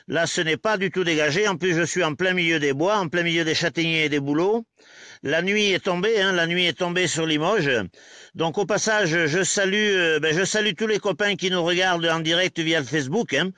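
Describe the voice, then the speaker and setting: A man with a bright, forward voice talks as an amateur radio operator. He is enthusiastic and repeats himself as he addresses both his contact and online viewers. The sound is dry, with little echo. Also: on the high side. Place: outdoors in woods at night.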